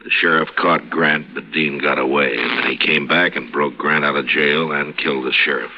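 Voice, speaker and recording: An actor playing a calm, steady frontier marshal in a 1950s radio drama. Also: male voice, rumbling low. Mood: somber. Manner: calm, measured. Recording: lo-fi old radio recording in a reverberant room.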